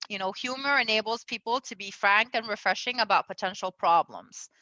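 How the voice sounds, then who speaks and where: Woman, raised in Venezuela, relationship coach, bright tone, rambling fillers, slightly muffled sound. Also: mid-range voice, no echo. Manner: chatty, lots of fillers, laughs often. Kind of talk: casual advice talk.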